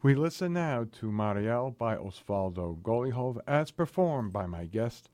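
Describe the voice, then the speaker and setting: A man's voice: medium pitch, animated, a little slow, a little mellow, no echo. A man hosting a radio interview, friendly and enthusiastic with his guest. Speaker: radio host.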